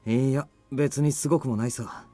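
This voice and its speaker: An actor voices a tall, cool male fighter in a visual novel game. He is self-assured and calm with a cocky edge, speaking briskly at a medium pitch over background music. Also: natural voice. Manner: calm, cocky, unhurried by danger. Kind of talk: Japanese visual novel voice acting. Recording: music under the voice.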